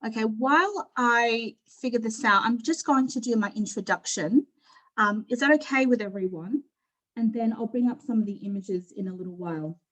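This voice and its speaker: A woman's voice: fairly high pitch, normal speed, fairly bright tone, low-quality recording. Middle-aged Australian woman, conversational. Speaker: panel speaker at an online forum. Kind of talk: informal personal presentation. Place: online video call.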